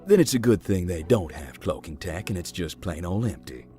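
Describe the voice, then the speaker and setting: A man playing a giant, easygoing, wry explorer in a visual novel, talking in a folksy, deadpan way. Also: low-pitched, moderate pace. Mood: calm, wry. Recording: music behind the voice.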